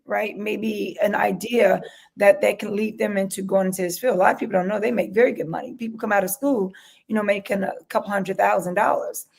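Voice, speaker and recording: A woman's voice: medium pitch, creaky, a little mellow. A woman speaking as an interview guest, earnest and enthusiastic, often checking in with "right?". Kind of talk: casual interview chat.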